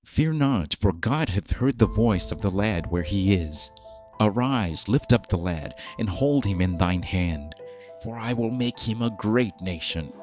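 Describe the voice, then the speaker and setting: A man reads as a divine being from scripture, calm and commanding. The voice is fairly low, grave and deliberate, over faint music. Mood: gentle command. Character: calm, commanding voice of God and heavenly angel.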